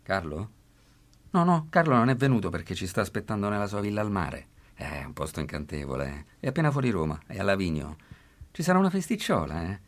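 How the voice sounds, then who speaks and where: A man's voice: lowish voice, big pitch swings, quick pace. An actor playing a deceitful, well-bred young man, breezy and persuasive, in a radio drama. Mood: falsely friendly.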